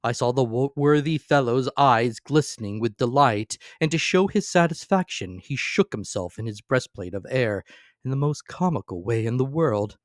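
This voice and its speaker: Man, medium pitch, dramatic literary narration, theatrical emphasis, dry close sound. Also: animated, normal speed, no room sound. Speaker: audiobook narrator.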